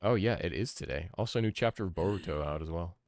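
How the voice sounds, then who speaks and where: Guy in his 30s w a deep voice, casual streamer chat, musing fan opinions. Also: quick pace, a little mellow, no room sound, clean studio sound. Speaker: streamer, podcast host. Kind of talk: casual game stream commentary.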